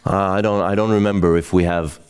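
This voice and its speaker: A man doing a sheepish, halting teen boy. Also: low-pitched, steady tone, a little hiss or hum, voice pushed down, lightly acted. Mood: hesitant. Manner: halting and unsure, trailing off. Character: fifteen-year-old boy, hesitant and sheepish.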